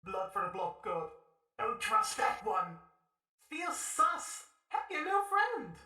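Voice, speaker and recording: An echoing, bright-edged chorus of voices in someone's head, acted out by a woman. It is bloodthirsty and suspicious, chanting short repetitive phrases that swing up and down in pitch for a tabletop game. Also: big pitch swings, takes it slowly, soft background music, heavily acted. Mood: ominous, suspicious.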